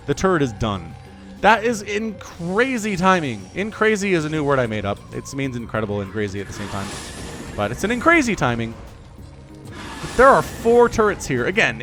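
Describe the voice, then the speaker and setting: A man in his thirties casts a real-time strategy match on his own, his voice leaping up and down as the action builds. He talks in a chatty, excitable way and drifts into jokey asides, with faint music playing under him. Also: a little high. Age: 30s. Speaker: game caster. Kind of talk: solo esports play-by-play commentary.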